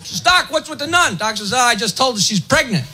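High-pitched, bright-voiced male joke teller. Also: very animated, brisk, husky. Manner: punchy, clipped delivery, shouts dialogue and punchlines. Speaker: stand-up comedian. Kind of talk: stand-up joke telling.

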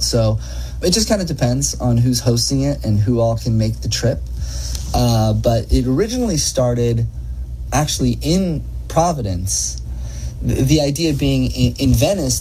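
A man's voice: mid-range voice, warm tone, slight background noise, low-quality recording. A man speaking casually as an interview guest, explaining things in an earnest, enthusiastic, rambling way. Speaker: interview guest, gondolier and athlete.

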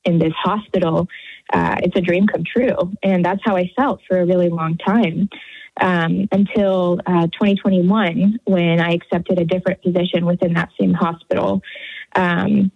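A woman in her thirties with an American accent, giving an earnest, halting personal account as a radio interview guest. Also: lowish voice, steady tone, creaky, husky. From Houston area, Texas, United States. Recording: narrow phone-like line, roomy echo.

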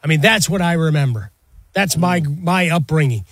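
Man, slightly high voice, nostalgic sports chat, thoughtful, dry room. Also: lively intonation, normal speed, fairly bright tone, no room sound. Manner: reflective, nostalgic, with fillers and restarts. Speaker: sports podcast co-host. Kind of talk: casual sports talk.

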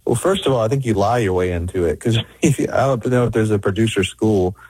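A man's voice: fairly low pitch, a little fast, a little mellow, breathy edge, no echo, rough recording. A middle-aged man, a record producer, talking in an interview with many restarts and fillers. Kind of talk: conversational interview.

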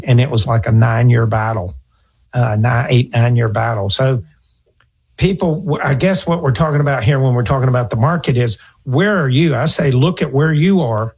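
A middle-aged man with a gravelly, husky voice speaks as a financial advisor giving advice. He talks earnestly, tells stories, and circles back to repeat his points. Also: mid-range voice, moderate pace, a little mellow. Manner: earnest, storytelling, repeats himself. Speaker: financial advisor radio host. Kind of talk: conversational advice talk.

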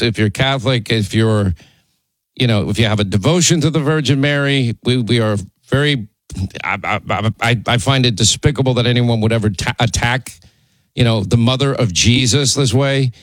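A man's voice: mid-range voice, normal speed, no room sound, poor audio. A middle-aged man hosting a political talk radio show, rambling conversationally with asides and fillers while getting over laryngitis. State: recovering from laryngitis. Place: radio studio. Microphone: studio microphone.

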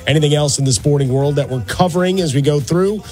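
A man's voice: fairly high pitch, fast, dark tone. A man hosting a sports talk radio show in a casual, playful, rambling monologue on a close studio microphone. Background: music.

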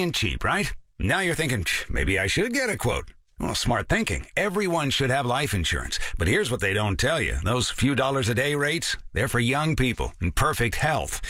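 A man's voice: mid-range voice, fairly bright tone, no room sound, studio quality. A man reading a radio ad in a wry, chummy, conversational way. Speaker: ad announcer.

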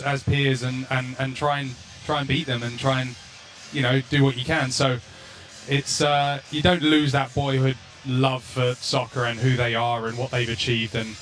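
A man's voice: medium pitch, fairly bright tone, slight background noise. Rambling English man on sports radio. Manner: rambling, many restarts and fillers. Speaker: studio guest, former pro soccer player. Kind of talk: casual radio chat.

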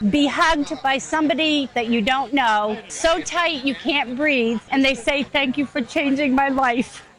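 A woman's voice: high-pitched, a little slow, fairly bright tone, rough recording. A woman interviewed about volunteering, speaking in a heartfelt, emotional way. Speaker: volunteer puppy raiser interviewee.

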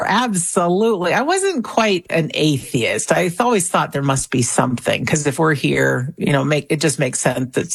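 A middle-aged woman, a guest on a radio interview, telling her story in an earnest, animated way. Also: low-pitched, creaky, slightly rough, no echo. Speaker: guest author.

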